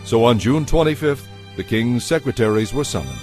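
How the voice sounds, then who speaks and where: A man narrating a dramatized audio Bible in a measured, formal storytelling manner. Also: medium pitch, lively intonation, darkish tone, soft background music.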